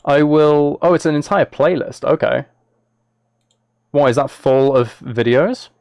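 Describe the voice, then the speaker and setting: A man's voice: fairly high pitch, unhurried, creaky, darkish tone, clear, resonant tone, no echo. A man live streaming, talking casually and a little hesitantly to his viewers as he reads chat and reacts.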